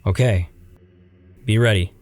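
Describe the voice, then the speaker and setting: A man's voice: fairly low pitch, unhurried, darkish tone, lightly acted. A man playing a loyal, somewhat unsure young hero with a casual manner in an audio drama. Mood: resolved. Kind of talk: radio drama acting.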